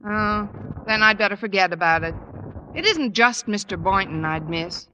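A woman playing a wisecracking high school English teacher with dry, sardonic comic timing in an old radio sitcom. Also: animated, normal speed, sharp tone, highs cut off. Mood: dry, brisk. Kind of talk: 1940s-50s radio sitcom acting. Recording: noisy old-time radio recording.

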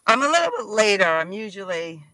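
A hoarse man, chatting as a passenger in a car and laughing often. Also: high voice, very animated, a little fast, pushed and bright, poor audio. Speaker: rideshare passenger. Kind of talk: casual chat. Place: inside a car.

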